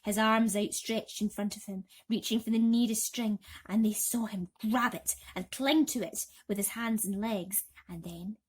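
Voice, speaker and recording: A woman narrating a bedtime storybook expressively and dramatically, slowing down for suspense. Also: medium pitch, steady tone, slightly rough. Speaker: narrator reading bedtime stories.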